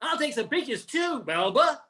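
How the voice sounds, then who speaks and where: Older guy doing cocky jokester diner customer, real slow, voice swoops all over, hammy radio play. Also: very high-pitched, very animated, bright, forceful tone, full character voice. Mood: jokey, clowning. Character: arrogant, nosy male diner customer and joking clown. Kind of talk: amateur radio play acting.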